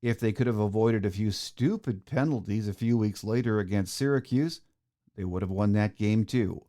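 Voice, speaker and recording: Low, mellow middle-aged male podcast host. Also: moderate pace. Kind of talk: scripted sports monologue.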